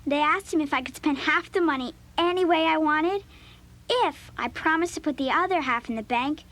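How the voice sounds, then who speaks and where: Bright, high, earnest schoolgirl. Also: normal speed. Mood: proud, excited. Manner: earnest, emphatic. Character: earnest, excitable preteen schoolgirl. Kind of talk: children's audio drama acting.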